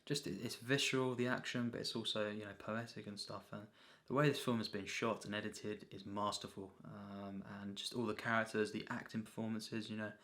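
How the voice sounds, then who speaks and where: A man giving an unscripted, rambling film review as a reviewer, in a halting, repetitive way full of filler phrases. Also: lowish voice, flat delivery, fairly bright tone.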